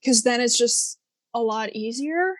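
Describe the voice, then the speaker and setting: A young woman speaks English in loose chat, pausing and reaching for words with plenty of fillers. Her voice is a bit high. The sound is dry and close. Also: lively intonation, no echo. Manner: searching for words, hesitant with many fillers. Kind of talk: casual chat.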